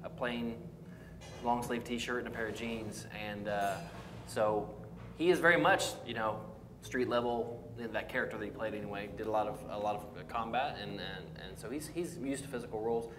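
An American man in his forties chatting casually as a panelist on a geek culture show, often halting and repeating words. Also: fairly low pitch. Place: roomy indoor restaurant at a table. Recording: echoey room, clear background noise.

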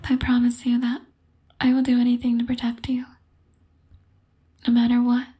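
Obsessive yandere girl for ASMR roleplay , played by a woman: soft breathy whisper, higher register, flat and even delivery. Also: moderate pace. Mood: whispered reassurance. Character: possessive obsessive yandere young woman, sweetly menacing.

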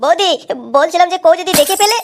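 An actor voicing a crude, excitable young cartoon man who stammers and swears in an exaggerated comic style. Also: very high-pitched, fast, slight background noise. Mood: nervous, lustful. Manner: stammering, repeats words, swears constantly. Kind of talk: exaggerated comedy cartoon voice acting.

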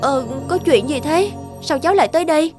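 A woman's voice: sits high, animated, brisk. A woman voicing a caring, worried female psychologist and mother in a cartoon. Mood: concerned. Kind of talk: cartoon dubbing. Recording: clear music behind the voice.

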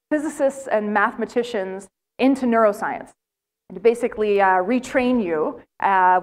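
A middle-aged woman with an American accent speaks in a large lecture hall, caught by a camera at some distance. She lectures in an animated, storytelling way and breaks off to ask the audience questions. Her pitch sits in the middle of the usual range for a woman. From United States. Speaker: plenary speaker.

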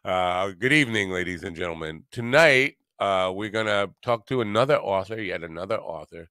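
Older man hosting, low close-miked voice. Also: animated, normal speed, sharp tone, smooth, no room sound. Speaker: podcast host. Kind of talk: casual interview hosting. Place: indoor home studio. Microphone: close studio mic on boom arm, headset.

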